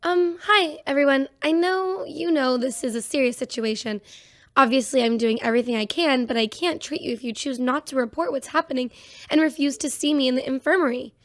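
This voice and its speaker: High-voiced, pleading ship's doctor. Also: female voice, a little fast, no room sound, clean studio sound. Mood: earnest, pleading. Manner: hesitant, pleading. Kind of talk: sci-fi radio drama announcement.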